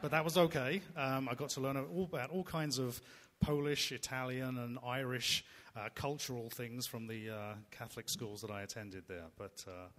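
A man's voice: mid-range voice, fairly even intonation, normal speed, fairly bright tone, a little hiss or hum. Man from England in echoey hall. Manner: hesitant, many ums. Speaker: panelist. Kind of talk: panel self-introduction. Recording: reverberant hall.

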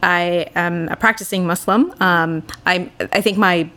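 Low, gravelly-voiced thoughtful woman. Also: fairly even intonation, unhurried, a bit bright. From Boston, USA. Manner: thoughtful, reflective, earnest. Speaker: podcast guest. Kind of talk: casual podcast conversation.

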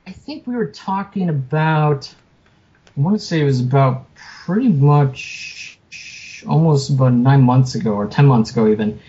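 A man's voice: medium pitch, expressive, normal speed, mellow. A man as a podcast guest, talking in a rambling, hesitant way with many fillers and easy laughs. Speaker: guest, writer. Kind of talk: casual interview. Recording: dull lo-fi remote audio.

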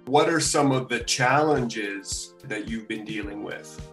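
A man's voice: on the low side, slow, fairly bright tone, soft background music. A man asking interview questions in a friendly, encouraging way. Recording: roomy, distant mic.

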